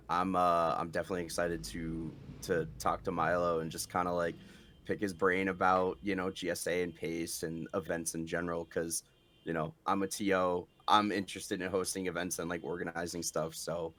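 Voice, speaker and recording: A man's voice: low-pitched, fairly even intonation, moderate pace, fairly bright tone. A man chatting casually as a podcast co-host. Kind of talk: casual chat.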